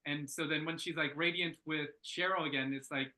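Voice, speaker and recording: A man with a very bright, forward voice, pitched fairly high. He talks informally and enthusiastically, feeling his way through feedback and often halting as he thinks aloud. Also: steady tone, rough recording. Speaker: script reader giving feedback. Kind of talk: casual workshop feedback discussion.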